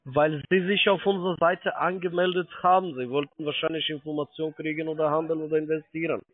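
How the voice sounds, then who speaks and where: Fast insistent salesman on a tinny phone line. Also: a little high, fairly even intonation, no room sound. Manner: insistent, impatient. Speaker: call centre salesman. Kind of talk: cold sales phone call.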